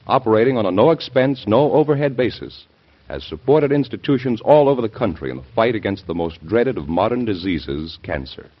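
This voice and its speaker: A man announcing an earnest, emphatic scripted charity appeal in 1950s radio style. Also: on the low side. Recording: dull old radio recording.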